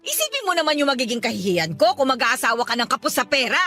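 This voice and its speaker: A woman's voice: high-pitched, very animated, quick pace, sharp tone, faint music behind, full character voice. A woman acting a domineering, status-conscious mother in a Filipino radio drama, scolding and commanding with threats. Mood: stern, scolding.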